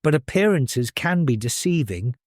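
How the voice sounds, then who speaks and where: A man's voice: medium pitch, very animated, moderate pace, a little mellow, dry recording, clean studio sound, natural voice. A man voicing a young storybook prince, thoughtful and questioning, with a reserved, doubtful manner.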